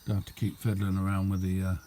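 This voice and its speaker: A man's voice: low voice, completely flat delivery, fast, warm tone, slight background noise. A man giving a casual, unscripted show-and-tell as a hobbyist, rambling along in an understated way. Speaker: hobbyist demonstrating a homemade radio.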